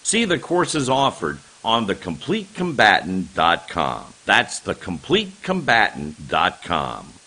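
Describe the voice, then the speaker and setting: Very animated, husky male ad announcer. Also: fairly low pitch, takes it slowly, few pauses. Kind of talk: radio advertisement read.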